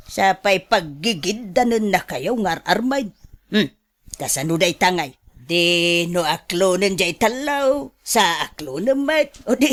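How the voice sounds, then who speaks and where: Slow-paced scolding older woman played by an actress. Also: mid-range voice, fairly bright tone. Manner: admonishing, emphatic, gossipy. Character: nosy, scolding older sister and mother with pension. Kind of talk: radio drama acting.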